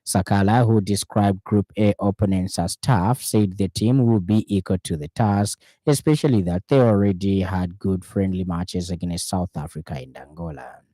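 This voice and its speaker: Zambian male radio news presenter, formal read, low relaxed voice, dry studio sound. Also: normal speed, few pauses, dry recording, low-quality recording. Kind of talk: scripted news bulletin reading.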